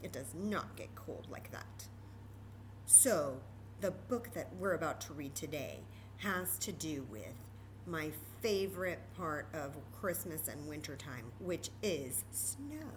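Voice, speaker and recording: A woman's voice: low-pitched, very animated, takes it slowly, a little hiss or hum, low-quality recording. An American woman hosting a children's storytime, speaking expressively and directly to young listeners, mixing read-aloud with chatty asides. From Texas, United States. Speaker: storytime reader and host.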